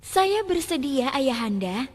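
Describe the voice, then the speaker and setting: A woman voicing a sweet young princess in a fairy tale, with a theatrical, expressive delivery. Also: high voice, slow, no echo, pitched above the performer's own voice, full character voice. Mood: meek, obedient. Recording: music under the voice.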